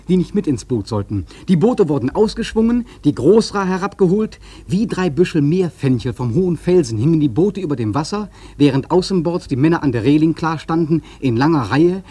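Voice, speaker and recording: A man's voice: fairly high pitch, warm tone, breathy edge, dry recording. An actor playing a whaleman who narrates a radio play in an intense, evocative literary storytelling style. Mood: vivid, stirred. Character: awestruck young whaleman sailor recalling the hunt.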